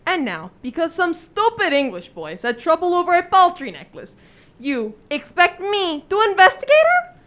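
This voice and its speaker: A woman playing a proud, courteous but dismissive French police inspector in a radio drama, with formal, deliberate politeness. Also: very high-pitched, wildly swooping pitch, fairly bright tone, exaggerated voice. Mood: indignant.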